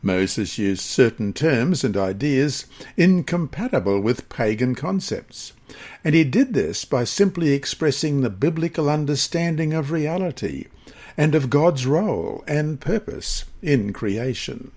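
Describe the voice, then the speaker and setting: A man with a slightly husky voice reads a scripted Bible study lesson in a measured, deliberate manner. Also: medium pitch, lively intonation, a little mellow. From Australia.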